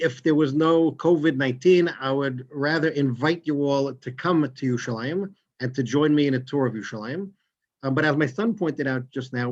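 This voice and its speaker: A man from New York with a New York accent, giving a quick, chatty guest lecture over a video call. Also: medium pitch. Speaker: guest speaker, tour guide. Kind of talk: Zoom lecture. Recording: dull lo-fi Zoom audio.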